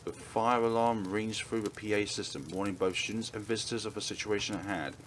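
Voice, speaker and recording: A man's voice: lowish voice, fairly even intonation, fairly quick, slight background noise. A man reading a visual novel aloud in a dramatic narrating style as a let's play host. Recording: music playing behind. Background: game music.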